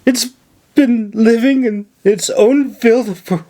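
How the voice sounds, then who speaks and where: A man's voice: very high-pitched, takes it slowly, mellow, pitched above the performer's own voice. A man voicing a scheming young woman mage in an audiobook, putting on a weepy, breathless, pleading manner. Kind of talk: audiobook character voice.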